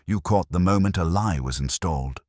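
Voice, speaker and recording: A man voicing a calm, quiet, shrewd police inspector with dry wit in a slow, understated detective audiobook manner. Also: sits low, dry recording. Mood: calm, deliberate.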